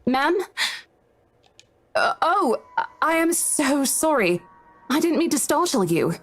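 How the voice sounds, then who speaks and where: A woman's voice: high-pitched, sing-song, slow pace, faint music behind, full character voice. An actress playing a polite, apologetic woman in a horror visual novel, speaking courteously. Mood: apologetic, concerned. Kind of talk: dramatic visual novel voice acting.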